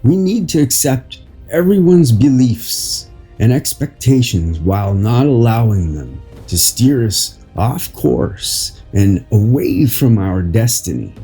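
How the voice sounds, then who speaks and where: Male narrator, very husky and breathy, for unhurried inspirational reading; pitch moves freely, light music underneath. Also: lively intonation, mellow. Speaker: podcast host and article reader. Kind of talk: slow read narration of an inspirational article.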